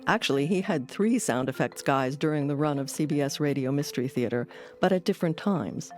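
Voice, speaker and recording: A woman's voice: deep voice, expressive, a little fast, darkish tone, soft background music. A woman hosting an audio documentary, delivering scripted narration. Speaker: documentary host.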